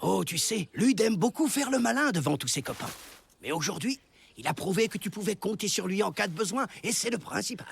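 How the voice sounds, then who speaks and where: An actor playing a kindly, eccentric mentor in a French cartoon, with a creaky voice and a gentle way of explaining. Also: medium pitch, expressive, fairly quick, slight background noise. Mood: reassuring.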